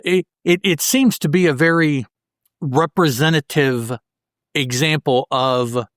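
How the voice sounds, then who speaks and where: A man co-hosting a podcast, casually discussing a comic book story and thinking aloud in a halting way. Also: medium pitch, fairly bright tone, no echo, clean studio sound. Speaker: podcast co-host.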